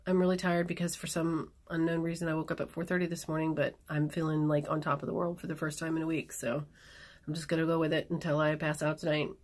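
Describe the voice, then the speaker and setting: A woman chatting in a casual video diary, a little hoarse, frank and rambling with plenty of fillers. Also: sits low, little pitch movement, fast, a little mellow. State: hoarse after surgery. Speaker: vlogger.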